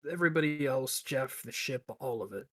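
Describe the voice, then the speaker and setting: A man's voice: mid-range voice, animated, fairly quick, fairly bright tone, no room sound. Earnest man in close, dry sound. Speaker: player in a roleplaying game. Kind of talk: in-character improvised roleplay.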